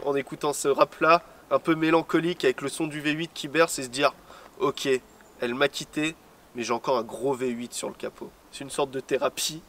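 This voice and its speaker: A man co-hosting a casual chat about cars and music, relaxed and joking, rambling with many hesitations. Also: on the high side. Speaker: co-host. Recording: distant mic with faint outdoor noise.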